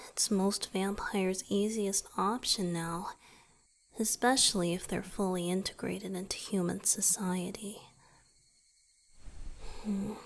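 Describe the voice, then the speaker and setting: A woman voicing a gentle, weary male vampire boyfriend in an intimate ASMR roleplay, soft and breathy, often whispering, explaining tenderly with hesitant pauses. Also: mid-range voice.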